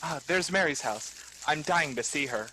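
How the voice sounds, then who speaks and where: A woman's voice: low-pitched, expressive, normal speed, sharp tone, slight background noise, rough recording. A woman playing a devoted, earnest young man in a 1940s-style radio play. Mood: eager.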